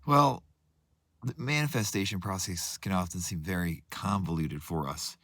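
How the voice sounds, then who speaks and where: Hoarse deep-voiced man, earnest coach. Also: normal speed, fairly bright tone, no room sound. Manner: earnest, with many restarts and asides. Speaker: manifestation coach. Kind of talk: reflective talk with book readings.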